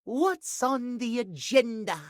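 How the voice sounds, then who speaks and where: A man's voice: extremely high pitch, animated, takes it slowly, a little hiss or hum, heavily acted. A male actor voicing a fussy, romantic timepiece in a video game, with formal, theatrical, precise diction. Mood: flirtatious, prim. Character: fussy, prim, schedule-obsessed male timepiece, romantic dandy. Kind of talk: video game character acting.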